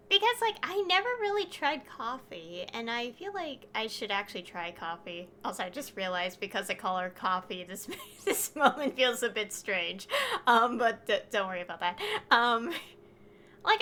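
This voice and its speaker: A woman's voice: on the high side, bright, forceful tone. A woman streaming a game with casual, rambling, chatty, giggly commentary.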